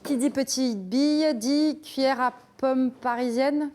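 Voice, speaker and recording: A woman's voice: high voice, fairly even intonation, a little slow, a little mellow, a little hiss or hum. A woman presenting a cooking segment, chatting informally and asking curious questions. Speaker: show presenter. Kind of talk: casual chat while cooking. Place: kitchen.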